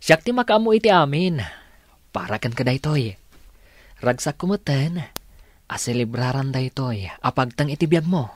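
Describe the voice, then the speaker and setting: An actor playing a supportive, cheerful young husband in a Filipino radio drama, speaking warmly and encouragingly. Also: male voice, medium pitch, animated, moderate pace, pauses often, dry recording, close to an everyday voice. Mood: reassuring. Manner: warm, reassuring, encouraging. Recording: dry studio, music underneath.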